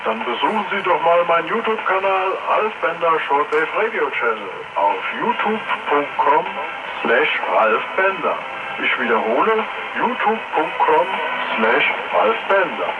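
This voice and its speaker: Guy plugging his channel, really lively pitch, tinny radio sound, loud static. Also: a little slow. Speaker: video channel creator. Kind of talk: scripted promotional announcement. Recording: thin phone-like shortwave, loud static.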